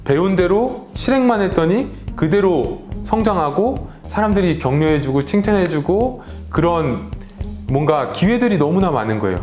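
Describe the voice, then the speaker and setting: A man's voice: a little high, darkish tone. A man who works as an educator speaks earnestly and motivationally in a testimonial interview, recorded in a roomy space. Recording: roomy echo, faint music. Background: faint music.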